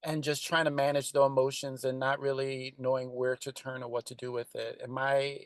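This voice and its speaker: A man answering questions as a screenwriter, speaking conversationally in a thoughtful, halting way. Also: medium pitch, level tone, no room sound.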